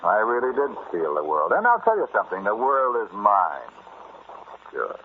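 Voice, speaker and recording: An actor playing a boastful, callous treasure thief in an old-time radio horror drama, talking in a halting, intimate way straight to his listener. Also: fairly low pitch, huge pitch swings. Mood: menacing, gloating. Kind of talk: old-time radio horror acting, first-person narration. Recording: muffled, noisy vintage radio recording.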